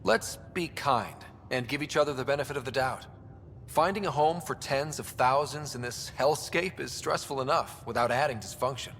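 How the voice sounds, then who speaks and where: A man's voice: normal speed, a bit bright, lightly acted. An actor playing an earnest young male space explorer and team leader in a video game, with a diplomatic manner. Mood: earnest, firm. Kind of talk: video game cutscene acting. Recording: hall reverb, faint rumbling noise.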